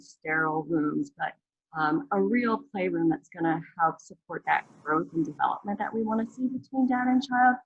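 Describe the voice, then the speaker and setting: A woman's voice: mid-range voice, slightly rough, no echo, dull audio. A woman presenting a program on a video call, friendly and conversational. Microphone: video call mic.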